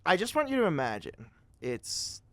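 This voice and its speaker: Joking podcast host guy, higher voice, really animated, close dry sound. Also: normal speed, no echo. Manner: playful, joking. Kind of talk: casual chat.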